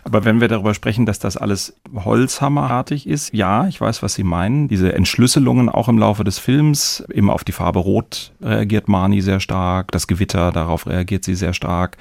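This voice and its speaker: A man's voice: lowish voice, moderate pace, creaky, hardly any pauses, a little mellow, no echo, clean studio sound. A man hosting a conversational interview, probing and building his questions in stages. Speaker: host.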